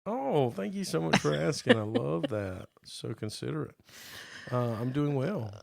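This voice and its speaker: Gravelly-voiced man, a bit hoarse. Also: on the high side, expressive. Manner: playful, teasing, drawn-out reading aloud. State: slightly hoarse from being sick. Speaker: podcast co-host. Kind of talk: casual chat.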